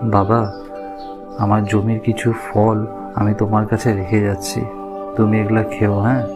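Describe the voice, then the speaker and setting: A man's voice: on the low side, flat delivery, measured pace, music in the background, natural voice. A man voicing a humble, earnest village fruit seller in a moral-story cartoon. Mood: grateful.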